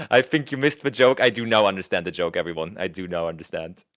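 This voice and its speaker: A man's voice: sits low, steady tone, normal speed, a bit bright. A man with a German accent doing casual livestream commentary, chatting with his viewers, reading their messages aloud and laughing often. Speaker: tournament co-commentator.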